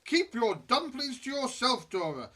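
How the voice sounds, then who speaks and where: A woman's voice: on the high side, sing-song, a little slow, pushed and bright, breathy edge, full character voice. A woman playing a pompous, officious, long-suffering royal chamberlain in a pantomime. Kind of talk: amateur pantomime acting.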